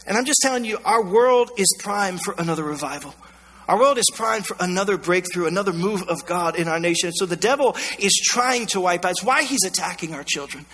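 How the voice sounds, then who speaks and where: A man's voice: high voice, expressive, fairly quick, fairly bright tone. A man with an American accent preaching a sermon in an emphatic, colloquial way, repeating phrases, his voice scratchy and strained. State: scratchy, strained voice going hoarse. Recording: long hall reverb.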